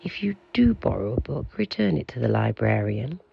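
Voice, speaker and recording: A man acts out an aloof keeper of a house of monsters for an audio drama. The voice is heavily creaky and very husky, formal and curt. It sits in a slightly dull, roomy recording. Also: mid-range voice, sing-song, normal speed, heavily acted. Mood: formal, informative. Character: aloof, pompous male keeper of an institute for monsters.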